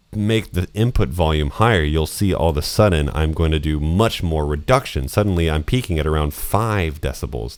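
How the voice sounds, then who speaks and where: A man with a deep voice and a touch of nasal quality talks through audio plugins at an easy, explanatory pace. He sounds dry and close on a studio condenser mic, with no room sound around him. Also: no echo. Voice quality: slightly nasal voice. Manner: relaxed, explanatory, casual with fillers. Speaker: audio engineer teaching voice mixing. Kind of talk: screen-recorded mixing tutorial. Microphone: studio condenser microphone close up.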